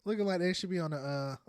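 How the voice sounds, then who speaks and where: A man's voice: sits high, no room sound, clean studio sound. A man in his thirties hosting a podcast, chatting casually and animatedly as he browses and reads aloud, laughing often. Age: 30s. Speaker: podcast host. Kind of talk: casual commentary while browsing and reading aloud.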